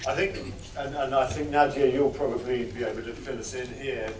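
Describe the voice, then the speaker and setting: Brisk man moderating a film Q&A. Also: mid-range voice, steady tone, a little hiss or hum. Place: cinema auditorium. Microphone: point-and-shoot camera across the room. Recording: echoey hall, distant camera mic.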